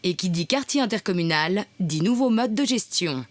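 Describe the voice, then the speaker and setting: A woman's voice: mid-range voice, expressive, moderate pace, dry recording. A woman reading scripted narration for a local news report.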